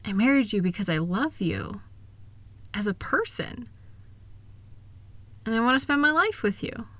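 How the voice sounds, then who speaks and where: A woman playing a kind, reassuring wife who gently and earnestly encourages her partner in an intimate one-sided roleplay audio. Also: on the high side, expressive, unhurried, dry recording. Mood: earnest, loving.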